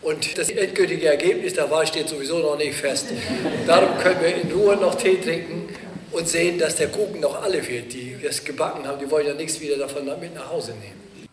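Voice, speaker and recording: A male pastor with a cold, giving relaxed, joking closing announcements and then the blessing in church. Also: a little high, fairly quick. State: has a cold. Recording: reverberant church hall.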